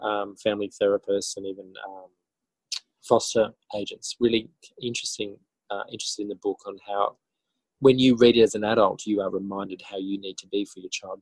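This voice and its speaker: A man with an Australian accent answering interview questions conversationally, reflective and often halting to rephrase as he tells his story. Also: fairly low pitch, a little mellow, husky, no echo, rough recording. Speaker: interview guest, author and teacher.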